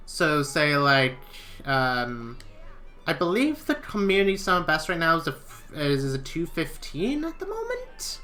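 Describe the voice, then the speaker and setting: A bright-voiced man from Wales, a native Welsh speaker, talking casually and joking about his own play. Faint music runs behind him. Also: a little high, huge pitch swings, measured pace. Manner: chatty, joking, self-deprecating. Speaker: speedrunner. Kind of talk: casual chat while playing.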